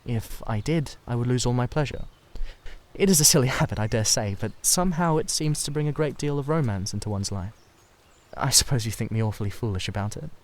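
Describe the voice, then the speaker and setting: A man voicing a sensitive, secretive young painter in a dramatised audiobook, earnest and halting as he confides. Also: medium pitch, expressive, close to an everyday voice. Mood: confiding, sheepish.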